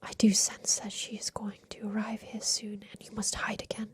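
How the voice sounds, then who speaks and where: A woman voicing a secretive, tender captive girl in a haunted attic, in a hushed, deliberate ASMR roleplay whisper that repeats phrases. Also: mid-range voice, completely flat delivery, slightly rough. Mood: wistful, secretive.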